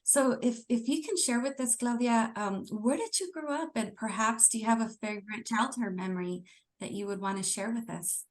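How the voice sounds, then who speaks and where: A woman's voice: on the high side, moderate pace. Friendly woman host asking questions. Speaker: podcast host. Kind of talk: live podcast interview.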